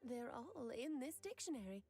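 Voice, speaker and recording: A woman's voice: high-pitched, very animated, moderate pace, warm tone, slightly rough, soft background music, full character voice. A woman playing a playful, scheming mage aunt in a video game, with an airy, teasing and theatrical manner. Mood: breezy explaining. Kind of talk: video game character dialogue.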